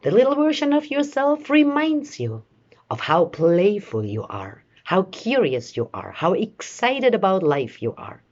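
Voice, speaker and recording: A woman leading a guided meditation in a soothing, measured way, with long pauses between short phrases. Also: mid-range voice, big pitch swings, a little mellow, muffled recording. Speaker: meditation guide, life coach.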